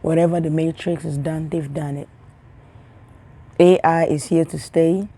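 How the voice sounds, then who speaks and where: A young woman from Africa speaks to her followers as a spiritual healer, in a low, gravelly, mellow voice. She rambles and repeats words. She often sinks into hushed, soft tones, and she talks close to the camera. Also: fairly even intonation, a little slow. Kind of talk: casual spiritual talk to camera.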